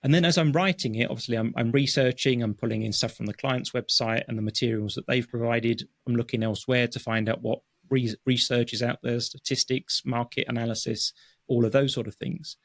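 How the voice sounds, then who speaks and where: A man's voice: mid-range voice, steady tone, fairly quick, no echo. Thoughtful man with a dry close sound. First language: English. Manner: thoughtful, many fillers and restarts. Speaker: guest, technical writer. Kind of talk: conversational interview. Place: home office.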